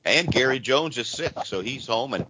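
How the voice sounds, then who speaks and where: A hoarse man with laryngitis hosts a live call-in radio show, talking in a casual, rambling way with frequent restarts. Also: mid-range voice, expressive, normal speed. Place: church. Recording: dull lo-fi radio audio with faint noise.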